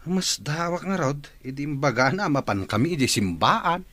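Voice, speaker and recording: A man's voice: on the high side, expressive, normal speed, fairly bright tone, no room sound. A man acting a good-natured, respectful household driver in a radio drama. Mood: gossipy, amused.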